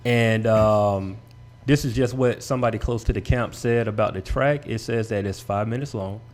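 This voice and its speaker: A man hosting a casual talk show, giving steady affirmations and reading out news updates. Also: fairly low pitch, moderate pace, soft background music. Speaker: show host.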